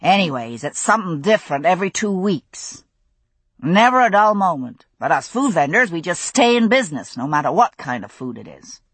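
A woman's voice: fairly low pitch, sing-song, normal speed, husky, no room sound. A woman playing a folksy food vendor. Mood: chatty. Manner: folksy, gossipy, loud. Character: chatty middle-aged male food vendor.

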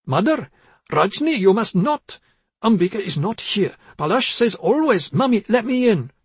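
A man narrator acts out an elderly mother in an audiobook, confused and fretful. Her voice is raised high and husky, and she rambles in apologetic, pleading phrases. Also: sing-song, moderate pace, no room sound, heavily acted. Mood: panicked. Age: older.

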